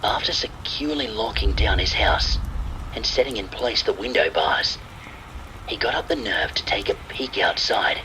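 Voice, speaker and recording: A man's voice: lowish voice, expressive, normal speed, bright, forceful tone, slight background noise, low-quality recording. A man reading a scripted horror story as narrator, with rain and thunder sounds behind him. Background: rain and thunder ambience.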